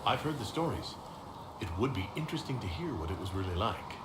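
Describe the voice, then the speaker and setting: A man's voice: fairly low pitch, sing-song, fairly quick, roomy sound, background noise. An actor playing an earnest male hero leader in a game cutscene, asking polite, curious questions. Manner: polite, inquisitive.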